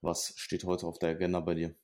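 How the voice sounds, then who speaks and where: A man's voice: sits low, completely flat delivery, brisk, darkish tone. A man hosting a casual podcast over a call, explaining plans as a coach with many hesitant fillers. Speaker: podcast host and prep coach. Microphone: remote call setup.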